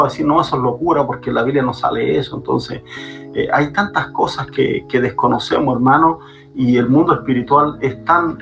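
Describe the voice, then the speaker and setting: A man's voice: mid-range voice, gravelly, fairly bright tone. A man, a pastor, speaking fervently as a guest on a podcast and repeating phrases for emphasis. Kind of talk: preaching guest in a podcast conversation. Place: Chile.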